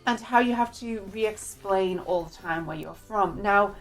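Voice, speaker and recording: A woman's voice: medium pitch, measured pace, faint music behind. A woman from the northeast of England with a northeast English accent, giving a candid, chatty book review. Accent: northeast English, mixed with other English accents. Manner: chatty, candid, reads quotes aloud.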